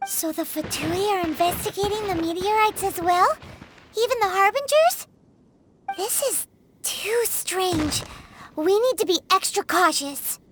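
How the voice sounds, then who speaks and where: A woman voicing a tiny, curious, excitable fairy companion in a video game, with a squeaky childlike voice. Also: unhurried, a little hiss or hum. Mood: puzzled, concerned. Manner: speaks of herself in third person. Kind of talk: video game character dialogue.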